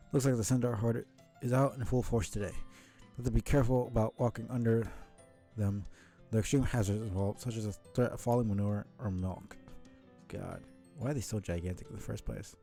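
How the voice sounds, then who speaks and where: Mellow low-voiced man, halting read-aloud. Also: gravelly. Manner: halting, stumbles and rereads words. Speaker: streamer reading a webcomic aloud. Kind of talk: live read-aloud of scripted narration with casual asides.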